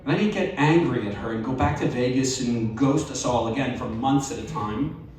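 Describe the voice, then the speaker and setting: Man, expressive live story reader, heavy room echo, picked up from afar. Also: mid-range voice. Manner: measured, expressive storytelling with wry emphasis. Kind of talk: live reading of a personal essay. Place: live event venue. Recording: very echoey live room, distant mic.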